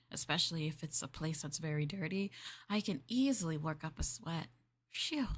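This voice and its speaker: A young woman with a slightly low voice chats softly in a maid roleplay, as if to her master. She rambles, giggles often and trails off into little hesitant fillers. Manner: playful, addressing the listener as master. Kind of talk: soft casual ASMR roleplay chat.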